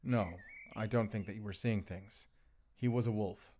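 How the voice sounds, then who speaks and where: A man playing an earnest, gentle wolf hunter in an audio roleplay, speaking in a measured, sincere way. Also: on the low side, fairly even intonation, lightly acted. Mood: gentle, reassuring. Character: grown male wolf hunter, earnest, gentle, protective, duty-bound. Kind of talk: cinematic audio roleplay acting.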